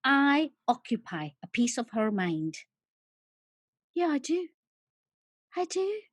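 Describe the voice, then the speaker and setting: Woman doing a brash, foul-mouthed female alter with a husky, swooping voice. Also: high voice, very animated, takes it slowly, no echo, clean studio sound, exaggerated voice. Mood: furious ranting. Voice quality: swearing, brash. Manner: ranting, mocking. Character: coarse, furious female alter called the ugly one. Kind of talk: solo stage monologue.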